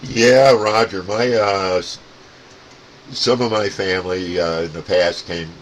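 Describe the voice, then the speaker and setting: A man's voice: low voice, steady tone, slow pace, heavy background noise. An American man from upstate New York chatting casually as a CB radio operator, using call signs and radio jargon. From upstate New York, USA. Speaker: amateur CB radio operator, channel co-host. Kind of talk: casual radio contact chat.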